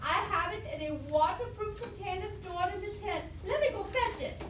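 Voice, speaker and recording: A woman voicing a clever, confident squirrel cook in a children's puppet show, savoring words in a deliberate way. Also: extremely high pitch. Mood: sly, cheerful. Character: clever, confident traveling squirrel cooking magic soup. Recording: echoey room, distant mic, faint noise.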